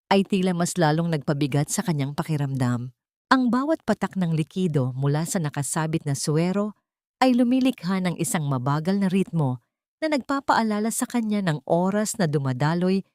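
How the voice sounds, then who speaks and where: A woman's voice: lowish voice, a little mellow, no echo, clean studio sound. A woman with a Filipino accent reads a scripted dramatic story as a storyteller.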